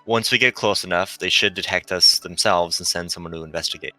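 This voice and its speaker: A man voicing a gentle, earnest female android ship pilot in formal, precise speech for a visual novel read-aloud. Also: sits low, fairly quick, no echo, faint music behind. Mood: businesslike.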